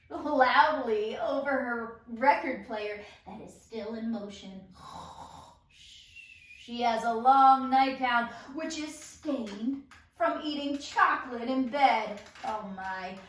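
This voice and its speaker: A woman reading stage directions at a table read in a lively, theatrical narrating style, acting out sound effects. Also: a little high, a little hiss or hum. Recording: echoey room, distant mic, music behind.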